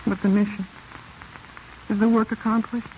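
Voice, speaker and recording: A woman's voice: mid-range voice, level tone, normal speed, darkish tone, natural voice. An actress playing an earnest, proper young woman, a professor's daughter, in a 1940s radio drama. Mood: subdued. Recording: dull vintage radio recording, faint hiss.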